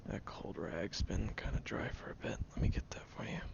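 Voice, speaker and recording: A man's voice: fairly low pitch, lively intonation, brisk, creaky, whispered. A man playing a sarcastic, exasperated tsundere young man who grudgingly looks after someone in an audio roleplay, speaking straight to the listener. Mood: grudgingly caring. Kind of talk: audio roleplay acting, spoken directly to the listener. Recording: dull lo-fi audio with faint noise.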